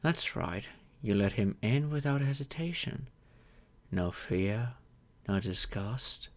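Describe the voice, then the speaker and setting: A man playing a wealthy, grateful vampire in an ASMR audio roleplay, speaking in a gentle, courteous and measured way. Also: on the low side, lively intonation. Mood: solemn storytelling. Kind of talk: slow intimate ASMR roleplay monologue.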